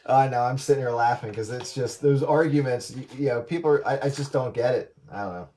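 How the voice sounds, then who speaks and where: A man's voice: mid-range voice, fairly quick, warm tone, slight background noise. Teasing man chatting over the radio. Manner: teasing, argumentative, quotes others mockingly. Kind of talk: casual radio chat among hobbyists.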